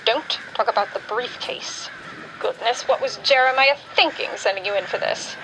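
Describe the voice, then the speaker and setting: A woman playing a brisk, secretive undercover wartime agent in a radio drama. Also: fairly high pitch, noisy background, natural voice. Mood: urgent, scolding. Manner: urgent, scolding.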